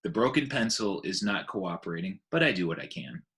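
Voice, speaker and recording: A man narrates a children's story aloud. His voice sits slightly low and moves with very lively intonation, giving an expressive read. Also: a little fast. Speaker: teacher reading aloud.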